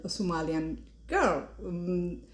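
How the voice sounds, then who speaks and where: A woman's voice: fairly low pitch, slow. A woman from Ethiopia, hosting a podcast and giving a critical, mocking commentary with many fillers and restarts. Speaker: podcast host. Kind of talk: opinionated commentary monologue. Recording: roomy echo.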